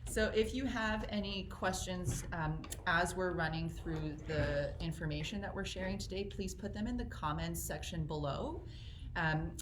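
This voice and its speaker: Brief: young woman host, lowish voice, friendly explainer, echoey office room. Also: a little hiss or hum. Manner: friendly, explanatory, with frequent ums. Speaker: grants coordinator hosting a live Q&A. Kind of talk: livestreamed info session.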